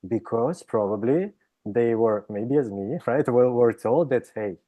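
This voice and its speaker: A man from Russia with a Russian accent, speaking as a psychologist guest in an interview, explaining with many pauses, restarts and "right?" checks. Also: mid-range voice, narrow, tinny audio. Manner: hesitant, frequent "right?" tags and restarts. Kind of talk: interview conversation.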